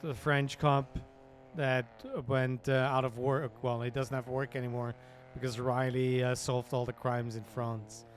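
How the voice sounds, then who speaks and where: A relaxed male streamer rambling through casual game chat at an ordinary mid pitch. His talk drifts with fillers and restarts. Faint game noise sits underneath. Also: unhurried. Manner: relaxed, rambling, laid-back.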